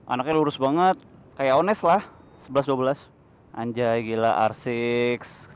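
A man's voice: mid-range voice, fairly even intonation, unhurried, clear, resonant tone. A man with a Jakarta Indonesian accent chatting in a casual, slangy, joking way as a motovlog host. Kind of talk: casual riding vlog chat. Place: outdoors on a motorbike in city streets and forecourts. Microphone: lavalier worn inside a motorcycle helmet. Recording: loud traffic and wind noise behind.

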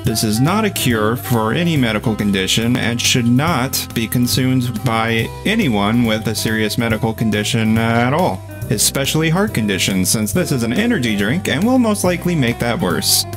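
A man playing a formal, deadpan corporate announcer reading a disclaimer in a parody ad. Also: mid-range voice, normal speed, hardly any pauses, over music, natural voice.

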